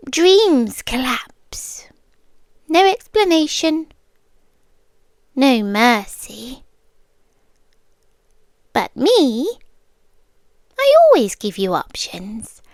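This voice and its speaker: A woman's voice: high voice, no echo, exaggerated voice. A British woman playing a possessive yandere girlfriend in an intimate ASMR roleplay, speaking with coolly patient, condescending menace and dropping toward whispers. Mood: coolly threatening. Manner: slow, deliberate, dropping into whispers, condescending sweetness.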